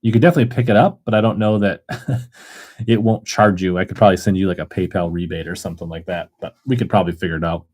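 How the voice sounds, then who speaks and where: A man's voice: low voice, quick pace, a little mellow. A man hosting a casual livestream auction, chatting in a rambling way and reading viewer comments aloud. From Minnesota. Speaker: live auction seller.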